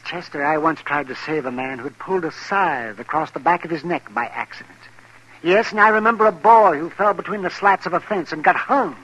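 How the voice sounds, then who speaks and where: Crusty frontier doc, sardonic and dry, somewhat high-pitched with a forceful edge, old radio western. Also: male voice, fairly quick, low-quality recording. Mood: sarcastic. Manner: testy, dry, sarcastic. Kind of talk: 1950s radio western acting.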